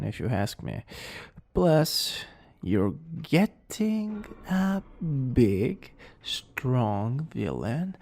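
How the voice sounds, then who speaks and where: Man acting a cocky, creaky-voiced young villain boyfriend. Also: fairly high pitch, a little mellow, full character voice. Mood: teasing, boastful. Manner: smug, theatrical, teasing. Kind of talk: romantic ASMR roleplay acting.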